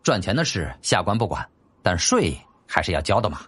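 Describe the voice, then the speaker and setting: A man's voice: sits low, big pitch swings, fairly quick, fairly bright tone, a little hiss or hum, voice pushed down. A man voicing a stern, curt magistrate in a formal, commanding manner for an audiobook. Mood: formal, restrained. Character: stern, curt male magistrate, feared harsh official.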